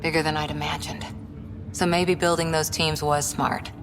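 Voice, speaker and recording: A thoughtful female officer in a sci-fi game. Her voice is very low and casually reflective, with clear ambient noise behind it. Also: flat delivery, normal speed. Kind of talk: video game dialogue.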